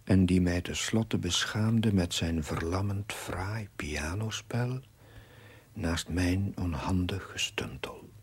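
Low, husky chaplain narrating slowly. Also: male voice, heavily acted. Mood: solemn, reflective. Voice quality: husky, breathy. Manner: measured, solemn, formal literary diction. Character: young chaplain narrating his diary, troubled and earnest. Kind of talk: scripted dramatic voice-over narration.

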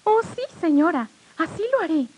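A woman playing a sweet, gentle young servant girl, tender and polite, on an old children's story record. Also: very high voice, big pitch swings, moderate pace, noisy background. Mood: polite, pleading. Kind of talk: 1950s children's record acting. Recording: old 78 rpm record with loud music.

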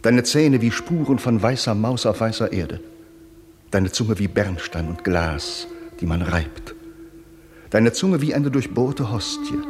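An actor playing a solemn, entranced poet who recites incantatory verse in measured phrases for a radio drama. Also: male voice, mid-range voice, darkish tone, a little hiss or hum, natural voice. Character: solemn male lover-poet chanting surrealist verse, entranced.

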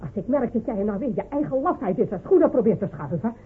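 An actress in a radio play voicing a scornful, sharp-tongued village woman who mocks and scolds. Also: a little high, expressive, fast talker, breathy edge, faint music behind. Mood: angry, threatening. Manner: mocking, scolding, rhetorical questions. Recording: phone-like, noisy old radio play recording.

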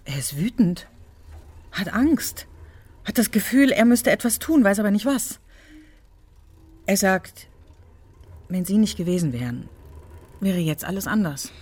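An actress playing a worried, polite but direct woman in a radio crime drama, with a husky, hesitant delivery. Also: mid-range voice, animated, unhurried, pauses often, darkish tone. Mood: worried, frank.

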